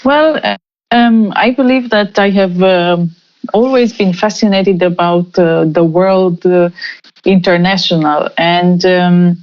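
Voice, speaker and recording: A woman in her forties who grew up in Romania, answering interview questions slowly with many fillers and restarts. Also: on the low side. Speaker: guest. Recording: muffled lo-fi remote call audio.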